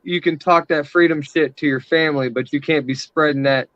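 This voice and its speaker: A man's voice: a little high, steady tone, brisk, lo-fi sound, crowd noise. A man hosting a casual livestream, rambling with many fillers and restarts as he talks to his chat. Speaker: livestream host.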